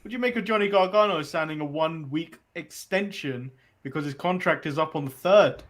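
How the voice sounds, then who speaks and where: A man's voice: a little high, fairly quick, fairly bright tone. A man from England, co-hosting a casual podcast chat with dry jokes. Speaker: wrestling podcast co-host.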